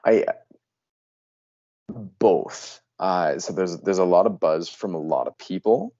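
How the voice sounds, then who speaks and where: A man's voice: low-pitched, slow pace, mellow, no echo, highs cut off. A man chatting casually as a player in a tabletop game.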